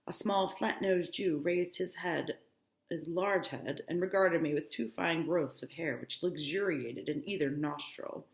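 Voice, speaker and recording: A woman reads literature aloud like a classroom teacher. Her voice sits on the low side, and the room adds a clear echo. She steps out of the text to put it in simple, everyday words. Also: measured pace. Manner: explanatory, breaks off to paraphrase in plain words. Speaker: high school English teacher. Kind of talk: reading a novel aloud with explanatory asides.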